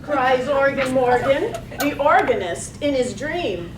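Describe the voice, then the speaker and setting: A woman narrates a poetic radio play in measured phrases. Her voice is high and slow. She is heard from some distance in an echoey room with faint noise. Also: expressive.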